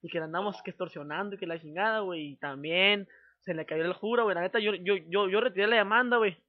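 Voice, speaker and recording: Through very muffled phone audio comes a man with a northern Mexican accent and a notably high voice. He talks fast, in thick street slang. His manner is pushy and threatening, and he circles back on his phrases as a prank caller. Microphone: telephone call.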